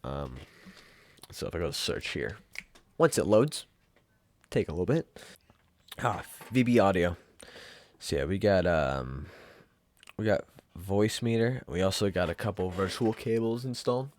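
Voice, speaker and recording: Male tutorial presenter, deep voice, dry close recording, loose and unscripted, informal and offhand. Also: expressive, unhurried, long pauses, darkish tone, no room sound. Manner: offhand, rambling, informal with mild swearing. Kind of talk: casual software tutorial, off-the-cuff.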